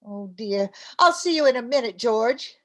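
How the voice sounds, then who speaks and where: A woman playing an excitable, chatty, scheming housewife in an old-time radio comedy, with a theatrical manner. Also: fairly high pitch, natural voice. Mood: brisk. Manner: theatrical, quick to jump to conclusions.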